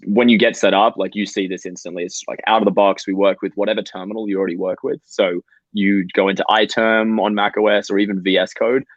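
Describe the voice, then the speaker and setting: A man, a startup co-founder explaining his product over a video call in a quick, animated way. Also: fairly low pitch, dry recording. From Australia. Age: 20s. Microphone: video call microphone. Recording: slightly dull, dry call audio.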